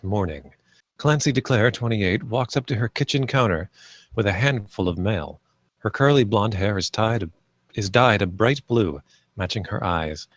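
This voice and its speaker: A man with a lowish voice narrates the stage directions of a screenplay. His delivery is plain and measured, and the sound is dry and close. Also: dry recording. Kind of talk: scripted narration at a screenplay table read.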